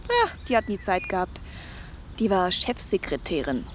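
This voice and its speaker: Young female radio drama part: gentle, conciliatory, mid-range voice, slight background noise. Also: mid-range voice, huge pitch swings, normal speed. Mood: soft, reflective. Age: young adult. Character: gentle young woman recalling childhood, conciliatory.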